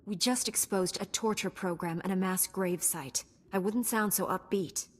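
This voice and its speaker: A principled, composed knight heroine from a video game, voiced by a woman. Her voice is of middle pitch. She speaks directly and firmly. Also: normal speed, natural voice. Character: principled, composed young female Jedi knight.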